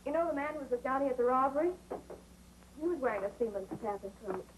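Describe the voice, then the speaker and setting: An actress playing a bright, inquisitive young sleuth in a 1950s TV drama. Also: female voice, sits high, animated, fast. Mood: probing. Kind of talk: 1950s American TV drama acting. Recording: very noisy old TV soundtrack.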